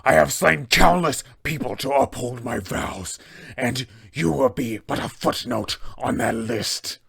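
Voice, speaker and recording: A man's voice: animated, a bit bright, exaggerated voice. A man voicing a vengeful undead male paladin who speaks in a grim, menacing tone. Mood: wrathful. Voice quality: gravelly, deep and slow.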